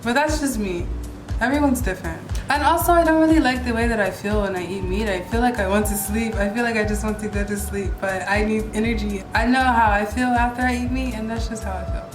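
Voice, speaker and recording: A woman's voice: fairly high pitch, a little fast, music in the background. A young American woman vlogging casually about travel and healthy living, chatty and gushing as she rambles between topics. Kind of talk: casual vlog chat. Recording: roomy echo.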